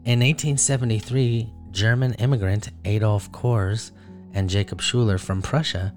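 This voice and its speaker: Male podcast producer, lower voice, steady relaxed reading over soft bed music. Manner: laid-back, reads facts in a measured way. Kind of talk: casual chat, reading a story segment.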